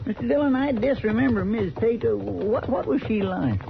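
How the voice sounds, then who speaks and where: Folksy, high-pitched western sidekick. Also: male voice, normal speed, creaky. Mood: chatty, curious. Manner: folksy drawl, homespun grammar. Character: folksy, talkative, good-natured marshal's sidekick. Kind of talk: 1950s radio western acting. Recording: tinny, hissy old radio recording.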